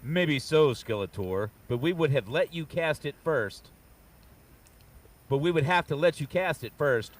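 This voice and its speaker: A man's voice: on the high side, animated, a little slow. A man voicing a noble, courteous barbarian hero with formal, stately speech at a comic book table read, picked up away from the microphone. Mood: courteous, confident. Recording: distant from the microphone.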